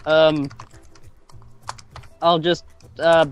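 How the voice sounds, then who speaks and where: A man's voice: a little high, little pitch movement, extremely slow, smooth, muffled recording, faint music behind. A man playing a tabletop game, thinking aloud hesitantly in casual chat.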